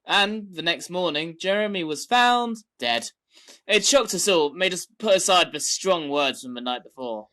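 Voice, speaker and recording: A woman's voice: lowish voice, animated, moderate pace, a bit bright. A woman voicing a wry villager who also narrates, with dry asides, in an amateur audio drama. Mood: narrating.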